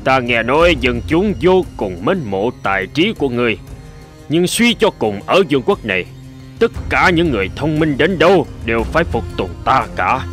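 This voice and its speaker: A man's voice: medium pitch, sing-song, moderate pace, fairly bright tone, soft background music. A man playing a haughty, menacing middle-aged king in a cartoon folk tale. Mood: imperious.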